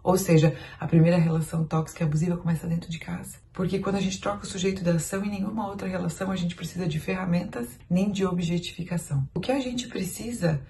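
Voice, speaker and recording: Brazilian woman, parenting educator, lowish voice, measured and emphatic, faint room noise behind. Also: steady tone, mellow. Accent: Brazilian Portuguese. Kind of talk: explaining in a podcast discussion.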